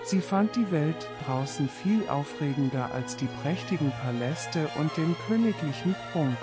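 Slow-paced male bedtime storyteller over music. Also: a little high, lively intonation. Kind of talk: scripted bedtime story narration for children. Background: orchestral string serenade music.

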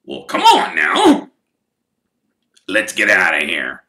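A man reading aloud and voicing a rough, kindly giant who talks loudly and gruffly. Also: sits low, wildly swooping pitch, takes it slowly, full character voice. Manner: loud, gruff, blustering. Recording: echoey room.

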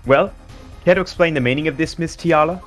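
A man's voice: on the high side, fairly quick, faint music behind, voice pushed up, natural voice. A man voicing an earnest, determined young male lawyer in a pointed, declamatory way, in a fan dub of a courtroom game.

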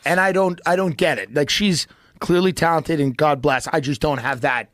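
A man's voice: a little high, moderate pace, a bit bright, no echo. An American man in his thirties chatting as a podcast guest in a casual, sardonic, joking way. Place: indoor podcast studio. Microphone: close dynamic microphone on arm, headphones.

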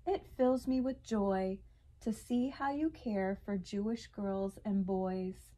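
A woman voicing a kindly old male Christmas boss in a storybook, speaking in a warm, approving, stately way. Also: medium pitch, takes it slowly.

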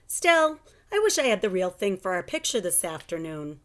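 A woman's voice: mid-range voice, sing-song, moderate pace, fairly bright tone. A woman voicing a wistful, anxious, earnest young schoolgirl in a children's storybook. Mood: wistful, hopeful.

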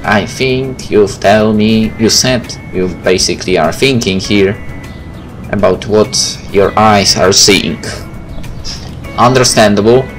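A man's voice: on the low side, slow, fairly bright tone. A man doing a let's play, reading visual novel text aloud and adding casual comments. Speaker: gaming video creator. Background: game music.

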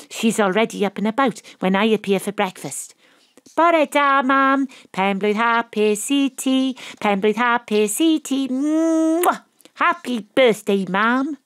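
A woman's voice: medium pitch, no room sound, lightly acted. Actress as a welsh lady detective telling her own story, wry n poetic, radio drama, very dry studio sound. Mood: affectionate, playful. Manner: wry, theatrical, literary. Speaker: narrator and lead character. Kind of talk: first-person audio drama narration and acting.

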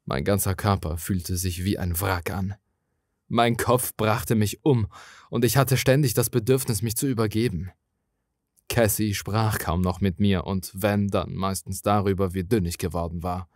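Slow, low-voiced male horror narrator. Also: no echo, studio quality. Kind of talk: dramatic scripted creepypasta narration.

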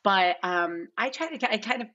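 A woman's voice: mid-range voice, animated, normal speed, pushed and bright. A middle-aged woman talking casually as a podcast guest, informal and repeating herself for emphasis on a video call. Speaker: casting director, podcast guest. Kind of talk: casual podcast interview talk. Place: large living room at home. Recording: slightly dull, lo-fi video-call sound.

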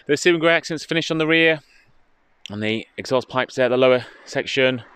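A British man giving an informative, steady walkaround presentation as a car dealership presenter, speaking into a handheld phone outdoors. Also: on the low side, no room sound. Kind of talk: walkaround product presentation, semi-improvised commentary. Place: outdoors in a car park, partly inside a car.

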